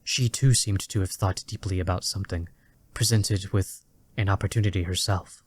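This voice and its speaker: A deep male narrator reads a dramatic fantasy story from a script. His delivery is deliberate, with weighty pauses between phrases. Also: steady tone, slightly rough.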